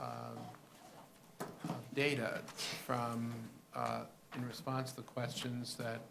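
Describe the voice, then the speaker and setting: A man chairs a city planning meeting, speaking slowly with a gravelly, creaky edge. He calls on members and moves the agenda forward. The recording carries a steady background noise. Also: medium pitch. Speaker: commission chair.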